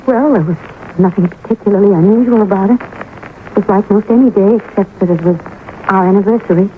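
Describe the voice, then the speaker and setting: An actress playing a sad but composed young widowed mother in a 1950s radio drama, speaking in a measured, hesitant, restrained way. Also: medium pitch, natural voice. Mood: subdued grief. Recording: noisy narrow-band old radio recording.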